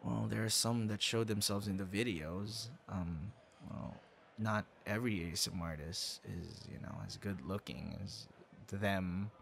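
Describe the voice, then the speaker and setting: A man playing a bashful young man with a secret hobby in a boyfriend audio roleplay, talking in a halting, flustered, conversational way. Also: fairly low pitch, fairly bright tone. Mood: bashful, sincere. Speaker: ASMR audio roleplay performer. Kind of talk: intimate boyfriend ASMR roleplay acting. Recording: clear background noise, ambience.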